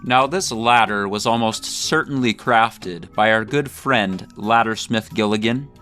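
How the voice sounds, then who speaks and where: A man's voice: on the low side, measured pace, fairly bright tone, soft background music. A man hosting a scripted comedic video essay, speaking in a mock-grandiose, tongue-in-cheek way.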